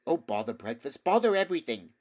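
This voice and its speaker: A man's voice: medium pitch, big pitch swings, fast, darkish tone, voice pushed up, close to an everyday voice. A man voicing a weary, blunt young runaway boy in a storybook, plain-spoken and protesting. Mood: grumpy, aching. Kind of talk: storybook character voice.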